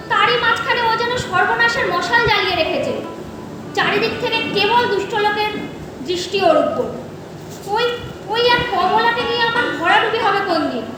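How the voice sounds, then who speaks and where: A resentful, scolding aunt, played by a woman, with a very high voice and a sarcastic, complaining edge. It is stage drama heard from afar in a very echoey hall. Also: recorded from a distance. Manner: complaining, sarcastic, sharp-tongued. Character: resentful, scolding aunt burdened by orphaned niece. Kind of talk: Bengali stage audio drama acting.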